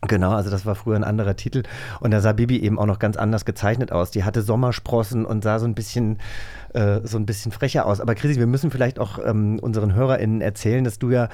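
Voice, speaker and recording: A man's voice: fairly low pitch, fairly even intonation, quick pace, dark tone. Talkative man co-hosting a casual podcast. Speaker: podcast co-host.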